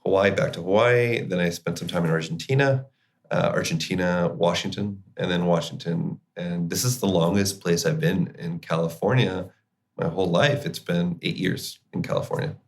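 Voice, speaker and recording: A man with a very low voice and plenty of fry, talking as an expert guest in an interview. His delivery is conversational and informal, with casual slang mixed into professional explanation. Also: moderate pace. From Chile and the United States. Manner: informal, uses slang like kiddo and buddy. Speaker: clinical psychologist, podcast guest.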